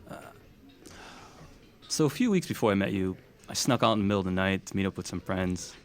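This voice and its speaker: A man's voice: low-pitched, expressive, brisk. A man playing an easygoing, plain-spoken young guy in a naturalistic audio drama, talking casually. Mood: confiding. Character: easygoing young man confiding past events.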